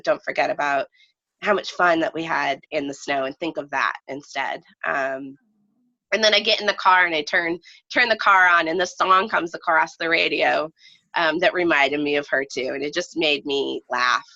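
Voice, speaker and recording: Woman, low pitch, bright edge, halting reflective storytelling, dull roomy recording. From United States, lives in New England. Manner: halting, reflective, trailing phrases. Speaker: guest storyteller. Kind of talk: personal storytelling in casual conversation.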